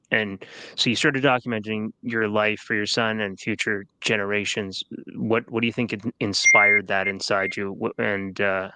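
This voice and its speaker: Male podcast host, deep and forceful tone, close dry mic, hesitant phrasing. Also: vocal fry, clean tone, dry recording, rough recording. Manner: halting, with many fillers and restarts. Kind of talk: interview questions.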